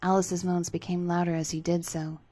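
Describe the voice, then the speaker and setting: A woman's voice: lowish voice, level tone, normal speed, warm tone. A woman narrating scripted fan fiction as a dramatic reading.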